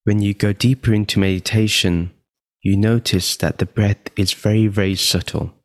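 A man leading a guided meditation in a calm, measured, deliberate manner, leaving long pauses between phrases. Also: fairly low pitch, no echo, studio quality.

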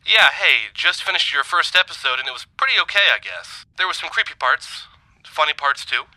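A man's voice: fairly high pitch, sing-song, brisk, sharp tone, no room sound, full character voice, poor audio. A man playing a grudging, laid-back podcast listener leaving a slangy voicemail in an audio drama. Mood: grudging, confiding. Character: grudging, casual male podcast listener calling in.

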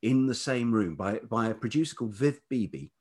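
A man's voice: mid-range voice, moderate pace, a little mellow, husky. A man reminiscing conversationally as a podcast guest, in a casual, reflective way. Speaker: podcast guest, writer and producer.

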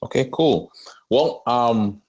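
A man with a Trinidadian accent, a pastor telling his life story as a podcast guest, with many pauses. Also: medium pitch, darkish tone, breathy edge, lo-fi sound. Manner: earnest storytelling with frequent "um" pauses. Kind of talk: conversational interview.

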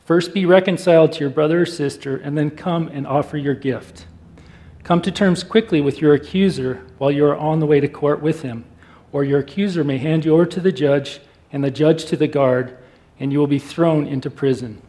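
Guy reading scripture aloud, warm soft tone, even and measured. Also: a little high, poor audio. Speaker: volunteer scripture reader.

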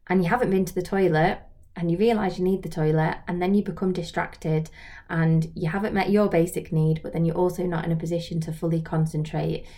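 A woman with a soft-edged, mellow voice that sits a little low. She talks as a trainer giving an informal lesson, explaining things reflectively and often posing questions directly to the listener. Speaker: social work trainer and video host. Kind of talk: informal instructional talk to camera.

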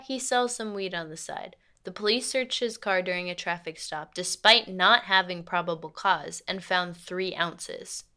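A woman's voice: on the low side, sharp tone. An actress playing a frank, principled lawyer and girlfriend in a radio play, speaking in a natural, articulate, matter-of-fact way.

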